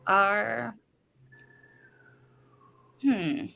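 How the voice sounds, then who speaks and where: A woman in her fifties from the Midwest, a longtime teacher chatting as a guest, laughing often and sounding excited. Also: mid-range voice, extremely slow, vocal fry. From Midwest of the United States. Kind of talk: casual chat.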